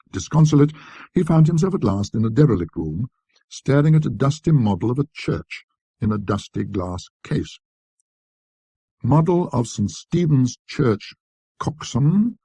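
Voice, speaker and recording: Low, mellow male storyteller. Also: breathy edge, no room sound. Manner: precise, scholarly, measured delivery. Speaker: narrator. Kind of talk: scripted ghost story narration in a classic British manner.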